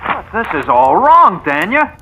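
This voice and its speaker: A noisy old radio drama recording of an honest young boxer. He speaks earnestly in a high, lively voice. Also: a little slow, dull audio, exaggerated voice. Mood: indignant. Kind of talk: 1940s radio drama acting.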